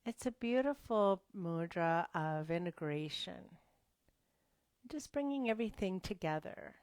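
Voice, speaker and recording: A woman leading a gentle yoga and breathing class, speaking softly and sometimes dropping to a whisper. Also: on the low side, lively intonation, no room sound. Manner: slow, soft-spoken and gentle, drifting into whispers. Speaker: yoga instructor.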